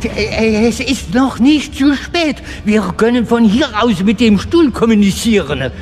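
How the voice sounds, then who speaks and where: An actor playing a nervous, placating alien underling in an audio drama, with hesitant delivery. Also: male voice, extremely high pitch, lively intonation, measured pace, soft background music. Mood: anxious.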